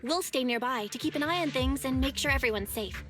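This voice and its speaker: A woman's voice: high voice, brisk, over music, natural voice. A woman voicing a determined young female investigator, speaking earnestly and urging her teammates on in game dialogue. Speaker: game character.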